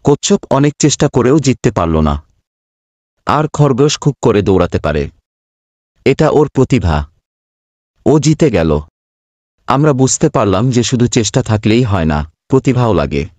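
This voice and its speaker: Lively-voiced man narrating a moral. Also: medium pitch, normal speed, pauses often, no echo.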